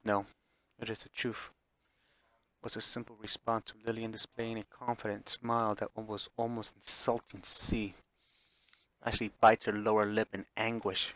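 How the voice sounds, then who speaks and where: A man's voice: on the low side, level tone, normal speed, a bit bright, dry recording, rough recording. A man reading a visual novel aloud as dramatic narration, sometimes stumbling and repeating phrases. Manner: stumbles over words and re-reads phrases.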